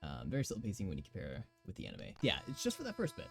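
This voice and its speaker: A young man in his twenties with a raspy voice, chatting casually and giggling often as he streams a game. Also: low voice, huge pitch swings, rapid-fire, soft background music. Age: 20s. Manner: giggly, rambling chatter full of fillers.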